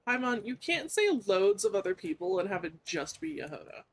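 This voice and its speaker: A woman's voice: on the high side, sing-song, brisk. A woman commenting casually on a game as she streams, with dry, teasing asides.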